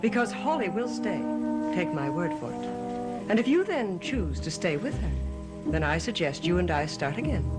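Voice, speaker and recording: An actress playing a manipulative, imperious wife who feigns fragility in a gothic soap opera, with theatrical, coaxing questions. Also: female voice, mid-range voice, lively intonation, moderate pace, lightly acted. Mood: cool, imperious. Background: dramatic music.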